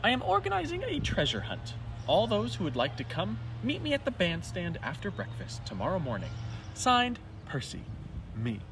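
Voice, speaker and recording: A man's voice: high-pitched, wildly swooping pitch, normal speed, distant mic, noisy background, voice pushed up, full character voice. A man voicing Percy, a cheerful, good-natured animal from a children's storybook, chatty and eager. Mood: excited announcing.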